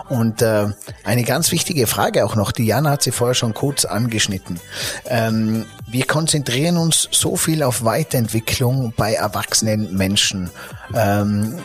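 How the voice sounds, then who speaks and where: Ein Mann mit österreichischem Akzent, der als Gastgeber im Gespräch lebhaft erzählt und oft nach Worten sucht. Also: male voice, medium pitch. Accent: Austrian German. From Austria. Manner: lively, searching for words with fillers and restarts. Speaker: podcast host. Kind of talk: casual interview talk.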